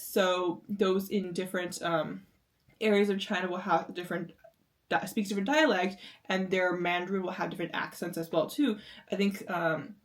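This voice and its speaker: Casting: woman from the US, a bit low-pitched, rambly reaction-video chatter. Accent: American. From United States. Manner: rambling, lots of filler "like". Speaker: series reactor. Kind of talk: casual reaction commentary.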